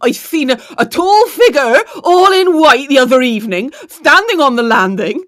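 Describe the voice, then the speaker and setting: A woman's voice: sits high, very animated, normal speed, a bit bright. A woman playing a fretful, superstitious house cook in a comic Gothic audio drama, melodramatic and rustic in her speech. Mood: nervous, breathless recounting. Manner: melodramatic, exclaiming, wailing.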